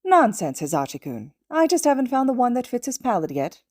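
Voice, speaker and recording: A woman's voice: on the high side, big pitch swings, fairly quick, darkish tone, no room sound, studio quality, pitched above the performer's own voice. A woman voicing a small, super-intelligent male creature, an insistent mentor who speaks in a precise, wordy, emphatic way.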